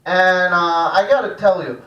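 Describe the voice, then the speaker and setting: A man's voice: high-pitched, fairly even intonation, unhurried, fairly bright tone, highs cut off. A man from Germany, performing a live stand-up comedy routine. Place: small live comedy room at a cafe. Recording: echoey room, distant stage microphone.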